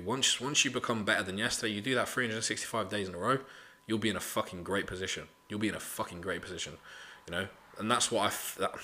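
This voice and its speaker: A young man talks straight into the mic, his voice low-pitched but bright and forward. He is blunt and motivational, swears freely, and keeps tossing in "you know" as he goes. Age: 20s. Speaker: podcast host. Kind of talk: casual solo podcast monologue.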